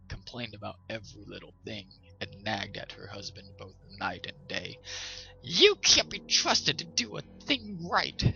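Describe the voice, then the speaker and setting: A man's voice: lowish voice, vocal fry, sharp tone. A man hosting a story reading, narrating in a storyteller's style. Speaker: host and story reader. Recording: slightly dull sound, music behind.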